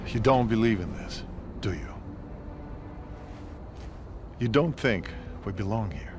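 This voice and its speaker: A male actor playing a skeptical, resigned Soviet officer in a video game, calm and understated. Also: on the low side, slow pace, background noise. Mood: probing. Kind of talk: dramatic video game acting.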